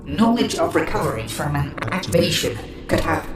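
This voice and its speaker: A man playing an ancient machine guardian in a sci-fi drama, speaking in a measured, formal, detached way. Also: on the high side, sing-song, very noisy. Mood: solemn. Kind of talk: sci-fi audio drama acting. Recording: distant, very echoey, music behind.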